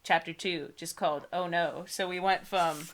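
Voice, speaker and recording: A woman's voice: lowish voice, steady tone, normal speed, crowd noise. A young woman in a casual read-aloud, giggly and often breaking off to add mocking comments. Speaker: co-host reading books aloud.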